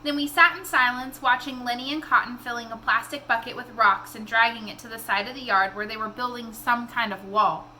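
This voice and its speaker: A woman reading a children's novel aloud in an expressive storytelling style. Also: on the high side, fairly quick, few pauses, slight background noise, poor audio.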